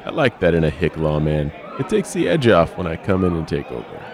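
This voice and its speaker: A man's voice: sits low, sing-song, fairly quick, faint music behind, some chatter behind. A man playing an arrogant male federal agent who speaks in a smug, mocking way.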